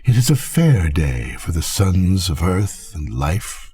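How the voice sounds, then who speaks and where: Dying gothic wife, read by an older man, very slow, low husky voice, solemn, foreboding, storybook. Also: sing-song, warm tone, full character voice. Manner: solemn, drawn-out, declamatory.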